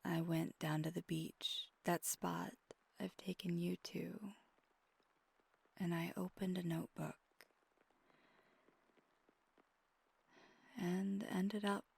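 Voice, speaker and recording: Woman acting slow, whispery, wistful vampire girlfriend. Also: fairly low pitch, flat delivery, no room sound, heavily acted. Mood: hesitant, regretful. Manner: soft, breathy half-whisper, halting and confessional. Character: wistful, tender female vampire girlfriend, ageless, confiding softly. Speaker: ASMR roleplay performer. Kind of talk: intimate ASMR roleplay monologue. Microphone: close microphone.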